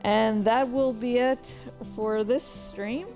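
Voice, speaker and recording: A woman's voice: a little high, fairly even intonation, slow, darkish tone, faint music behind. A woman streamer chatting casually, rambling along with distracted asides. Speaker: streamer and indie author. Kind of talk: casual livestream chat.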